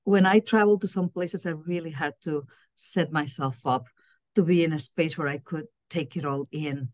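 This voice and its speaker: A woman's voice: low voice, no room sound. A middle-aged woman from Puerto Rico answering interview questions over a video call from a small room at home, earnest and often repeating words as she searches for her phrasing. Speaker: guest, university diversity director. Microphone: laptop webcam on video call.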